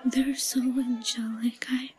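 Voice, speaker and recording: A woman's voice: a little high, flat delivery, slow pace, darkish tone. A woman playing a timid, lovestruck yandere schoolgirl in an audio roleplay, stammering and murmuring breathily with hesitation. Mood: tearful, infatuated. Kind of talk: intimate audio roleplay acting.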